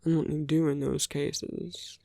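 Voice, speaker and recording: A villain murmurs at very close range in a dry, intimate audio roleplay performed by a man. The words come slowly, with lingering pauses and plenty of gravelly creak. The tone is sly and teasing, yet tender toward a supposed enemy. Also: fairly high pitch, little pitch movement, dry recording. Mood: tender, earnest. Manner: unhurried, hushed, pausing between phrases. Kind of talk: intimate ASMR roleplay acting.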